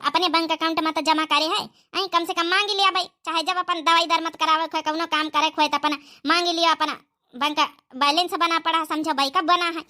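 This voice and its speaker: A woman's voice: very high-pitched, steady tone, brisk, sharp tone. A woman voicing an elderly, grumbling but fond village mother-in-law with an Awadhi accent in a comedy cartoon. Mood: matter-of-fact. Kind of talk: rural family comedy cartoon dialogue.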